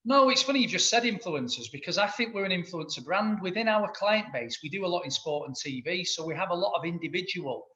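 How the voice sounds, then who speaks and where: Mancunian accountant guest, higher-pitched male, keen and rambling, muffled sound. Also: expressive, a little fast, hardly any pauses, sharp tone. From Manchester. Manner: enthusiastic, rambling with many restarts. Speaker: guest, accountant and business owner. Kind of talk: interview answers.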